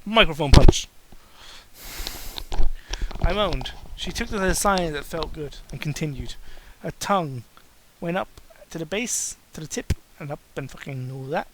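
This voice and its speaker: A man's voice: fairly high pitch, unhurried, pauses often, noisy background. A man doing a mocking dramatic reading, breaking into exasperated, sarcastic, sweary asides. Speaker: amateur reader and commentator.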